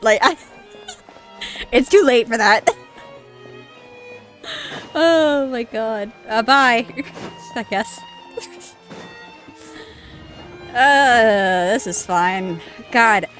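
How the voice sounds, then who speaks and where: A woman's voice: on the high side, a bit bright. A woman streaming a game, giving casual, rambling reactions with frequent laughs and swearing.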